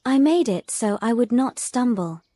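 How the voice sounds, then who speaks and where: A woman's voice: a little high, expressive, normal speed, warm tone, no room sound. A woman playing a naive but stubborn mermaid in human form in a fantasy audio drama, with stilted, formal phrasing. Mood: defiant.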